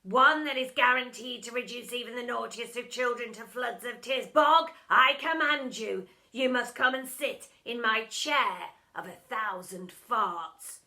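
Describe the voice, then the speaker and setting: A woman voicing an evil, fanged male science teacher from a children's storybook, with a booming, barking, menacing delivery. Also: measured pace, few pauses, pushed and bright. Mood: gloating command.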